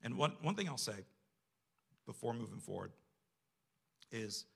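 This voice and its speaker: A man's voice: mid-range voice, very animated, measured pace, creaky, a bit bright, breathy edge. A man preaching a sermon to a congregation in a conversational, self-deprecating way, often posing rhetorical questions. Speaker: church staff member preaching.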